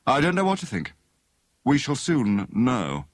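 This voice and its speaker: A man voicing a brisk, confident gentleman detective in an audiobook, authoritative and courteous. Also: mid-range voice, wildly swooping pitch, dry recording, clean studio sound, pitched above the performer's own voice. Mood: courteous, businesslike.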